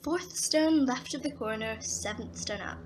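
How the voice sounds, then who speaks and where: A woman's voice: fairly high pitch, unhurried, a little mellow, a little hiss or hum, natural voice. A woman playing a cocky, sardonic agent who knows more than she says, in an audio drama. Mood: sardonic, knowing. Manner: sardonic, breezy.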